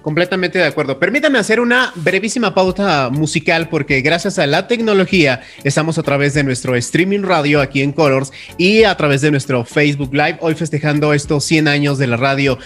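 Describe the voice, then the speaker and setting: A man's voice: on the high side, brisk, few pauses, fairly bright tone, faint music behind. A man with a Mexican accent presenting live radio as host, with a formal, emphatic announcer delivery.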